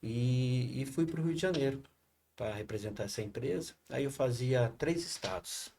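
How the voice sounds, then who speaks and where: A man spinning anecdotes as a podcast guest, his voice sitting at an ordinary mid pitch. He talks in animated bursts, peppering every thought with little tag questions. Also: normal speed, vocal fry, a little hiss or hum. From Paraná, Brazil. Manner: animated anecdotal telling, frequent "né". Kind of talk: storytelling in casual conversation.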